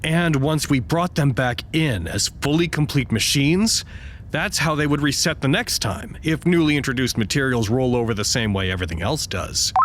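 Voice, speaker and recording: A man's voice: medium pitch, moderate pace, a bit bright, a little hiss or hum, natural voice. A man acting in an audio drama as a weary, earnest staff administrator, speaking in a dry, understated way. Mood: businesslike.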